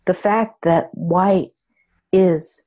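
Woman from the US, podcast guest, husky, mellow tone, dry wry manner. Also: lowish voice, steady tone, drawn-out words. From United States. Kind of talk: conversational interview.

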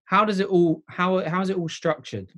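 A man with a slight cold-croak, hosting a casual podcast interview in a chatty, upbeat way with lots of restarts. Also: high-pitched, fairly even intonation, normal speed, a bit bright. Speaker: podcast host.

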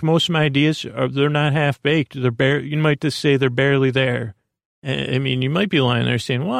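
A man with a creaky voice hosting a sleep podcast in a meandering, halting monologue, often interrupting himself. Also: medium pitch, no echo, studio quality.

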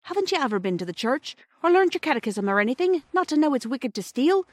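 Woman voicing a stern, high-pitched station master. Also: very animated, fast talker, dry recording, clean studio sound. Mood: gentler admonishing. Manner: scolding, admonishing, old-fashioned slang. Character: stern but kindly adult male station master.

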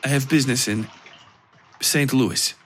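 A man voicing a weary, stolid traveller in audio fiction, speaking in a flat, hesitant, terse way. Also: mid-range voice, measured pace, slight background noise, natural voice. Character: weary, stolid male traveller haggling for passage. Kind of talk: audio fiction character dialogue.

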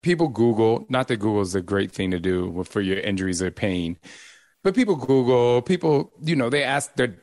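A man's voice: on the low side, lively intonation, moderate pace, a little mellow. A man hosting an interview, asking long, thoughtful questions. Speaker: host.

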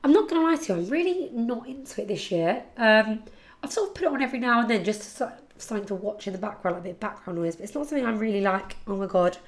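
A young woman with a husky, congested voice from hay fever, chatting casually and rambling to her podcast listeners. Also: mid-range voice, animated, quick pace. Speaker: podcast host. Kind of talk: casual chat.

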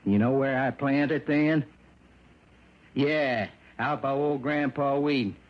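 A man's voice: medium pitch, steady tone, takes it slowly, close to an everyday voice. Man acting a desperate, menacing bomber, muffled old radio. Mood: agitated, threatening. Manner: threatening, rough uneducated speech. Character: desperate small-town male bomber villain, menacing and volatile. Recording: dull old radio broadcast.

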